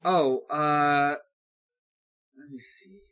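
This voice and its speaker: A man's voice: fairly high pitch, fairly even intonation, very slow, a bit bright, clean tone. A man co-hosting a podcast, bantering casually and hesitantly as he thinks aloud. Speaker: podcast co-host. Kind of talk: casual banter among friends.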